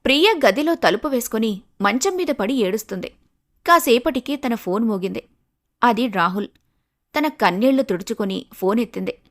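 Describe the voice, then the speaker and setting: A woman's voice: fairly high pitch, expressive, normal speed, a bit bright. A woman giving scripted narration of a moral story.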